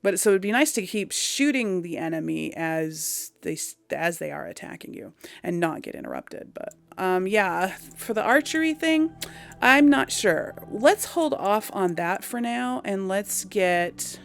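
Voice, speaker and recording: A woman's voice: medium pitch, animated, a little slow, vocal fry, soft background music. A woman giving casual video game commentary, thinking aloud in a hesitant, musing way. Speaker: video game let's player.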